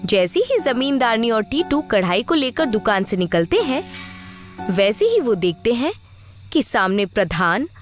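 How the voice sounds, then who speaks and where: Lively high-voiced woman storyteller. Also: a little slow, soft background music. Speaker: story narrator. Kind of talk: scripted storybook narration.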